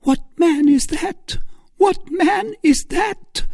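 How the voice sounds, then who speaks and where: A man's voice: very high-pitched, slow pace, mellow, no echo, clean studio sound, pitched above the performer's own voice. A man voicing a terrified, desperate noblewoman villain in a reading of a classic novel, screaming frantically. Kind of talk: audiobook character voice.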